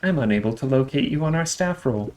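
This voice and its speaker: A man's voice: mid-range voice, expressive, a little fast, a little mellow, slight background noise, voice pushed up, lightly acted. Man doing a clipped, officious security system.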